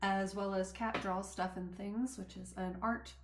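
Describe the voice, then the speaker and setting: A woman's voice: medium pitch, steady tone, measured pace, noisy background. A woman producer casually introducing herself and her work. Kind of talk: casual self-introduction and plug. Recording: roomy, echoing voice track.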